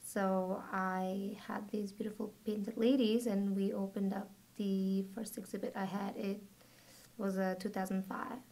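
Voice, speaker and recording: A woman from Bosnia and Herzegovina, a body paint artist, speaking reflectively in a documentary interview, with soft hesitant pauses. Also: mid-range voice, level tone.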